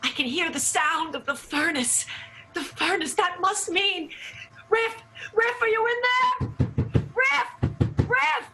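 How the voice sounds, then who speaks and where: Troubled, intense heroine played by a woman, bright high voice, urgent radio-soap melodrama over music. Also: takes it slowly, heavily acted. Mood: frantic. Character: troubled, hard-drinking woman, devoted and intense lover. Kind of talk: improvised radio soap opera acting.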